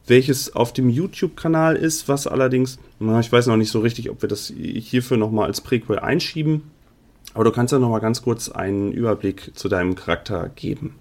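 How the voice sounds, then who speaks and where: A man hosting a tabletop game session, casually asking the players to introduce their characters. He is easygoing and affirming, with a plain voice at an ordinary pitch for a man. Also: darkish tone. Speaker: game master, host.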